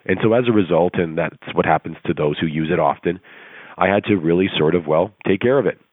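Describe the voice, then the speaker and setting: Hoarse deep-voiced man on a phone line. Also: brisk, no room sound. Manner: earnest, rousing, rhetorical questions. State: hoarse, recovering from laryngitis. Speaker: coaching call presenter. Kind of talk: motivational coaching talk. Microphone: telephone conference call. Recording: tinny phone line, dry.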